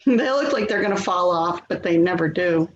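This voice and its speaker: A woman's voice: lowish voice, animated, moderate pace, natural voice. Woman playing a harried daughter, muffled echoey audio. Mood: apologetic. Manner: hesitant, pleading, weary. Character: harried, placating adult daughter of an elderly mother. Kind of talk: readers theater stage acting. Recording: dull, echoey, clearly noisy audio.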